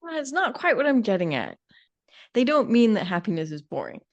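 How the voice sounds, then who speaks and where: A woman's voice: on the high side, very animated, a little fast, dull audio. A woman co-hosting a podcast, talking casually and informally with a sardonic, opinionated edge. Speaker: podcast co-host. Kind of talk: casual conversational discussion.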